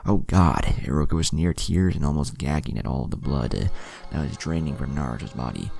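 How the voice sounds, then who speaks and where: A man's voice: very deep voice, brisk, vocal fry, dark tone. A man narrating a dramatic fan fiction story as a scripted reading. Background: music.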